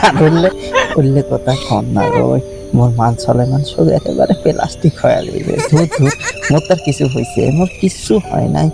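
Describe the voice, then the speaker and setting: A man's voice: medium pitch, moderate pace, creaky, loud music behind the voice, natural voice. A man voicing a teasing, huffy village husband doll in a cartoon, grumbling and moaning with a rural Bangladeshi accent. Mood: huffy, embarrassed. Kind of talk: puppet-cartoon dialogue.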